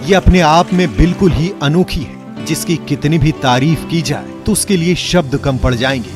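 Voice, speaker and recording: A man reading scripted news feature narration as a voice-over. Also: fairly high pitch. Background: loud background music.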